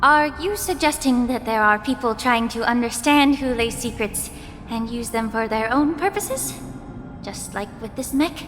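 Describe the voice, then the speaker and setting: A woman acts out a young healer from a fantasy game. Her fairly high voice is formal and poised, with polite, pointed restraint. Long reverb surrounds it, with soft music underneath. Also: normal speed, natural voice. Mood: thoughtful. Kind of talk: video game cutscene voice acting. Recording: reverberant hall with faint music.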